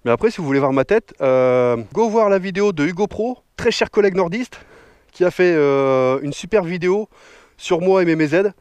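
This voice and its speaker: A man's voice: high-pitched, animated, moderate pace, darkish tone, clean tone, no room sound. A man in his thirties from northern France, a motorcycle vlogger answering viewer questions in a joking, slangy way outdoors. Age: 30s. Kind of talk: casual Q&A vlog. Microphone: action camera mic.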